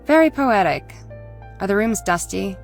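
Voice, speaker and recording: A woman playing a centuries-old ghost in a visual novel, guarded and formal, with a dry, measured delivery. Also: medium pitch, expressive, vocal fry, soft background music. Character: centuries-old female ghost, guarded, terse, coolly knowing.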